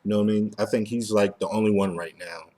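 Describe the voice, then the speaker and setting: A man with a fairly low voice hosts a fan podcast. He talks in a loose, informal way and drops in slang as he goes. The delivery is that of casual conversation. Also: fairly even intonation, quick pace, mellow. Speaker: podcast host. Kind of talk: casual fan discussion of an animated series.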